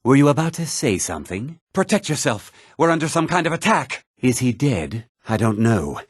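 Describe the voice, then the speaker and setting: Husky, haughty fantasy official. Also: male voice, medium pitch, big pitch swings, dry recording, studio quality. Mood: urgent, alarmed, then grave. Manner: formal, measured, precise, condescendingly polite. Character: haughty, formal middle-aged mage and official, courteous but easily exasperated. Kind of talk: fantasy video game dialogue.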